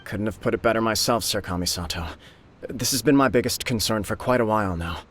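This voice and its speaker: A man's voice: on the low side, a little fast, a bit bright, slight background noise, natural voice. An actor playing an earnest young nobleman who speaks sincerely and respectfully, in a plain, direct way. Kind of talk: game dialogue voice acting.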